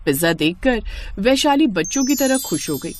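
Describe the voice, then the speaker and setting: Woman, storyteller, scripted cartoon narration, lively varied pitch. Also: moderate pace, soft background music.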